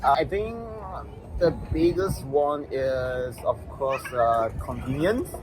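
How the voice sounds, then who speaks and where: A man's voice: medium pitch, expressive, noisy background. A young man from Malaysia, a native Mandarin speaker, talking casually as a guest and often restarting his sentences. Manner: halting, with many restarts and fillers. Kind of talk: casual conversation in English.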